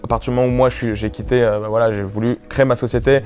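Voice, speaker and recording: Rapid-talking man, faint music behind. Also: medium pitch, steady tone, darkish tone. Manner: rambling with fillers and restarts. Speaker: co-creator of a prank show. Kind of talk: casual behind-the-scenes chat.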